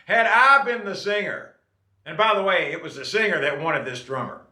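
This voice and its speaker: A man's voice: sits high, normal speed, bright, forceful tone, echoey room. A man in his late fifties giving casual, folksy advice to viewers, often checking in with "okay?". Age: 50s. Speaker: drummer and channel host.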